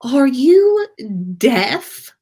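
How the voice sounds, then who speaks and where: Storybook schoolboy wizard, acted by a woman: snobbish, whiny, indignant drawl, raised pitch, swooping delivery. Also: sing-song, drawn-out words, warm tone, full character voice. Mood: snarky. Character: teenage wizard boy, haughty, whiny and dramatic.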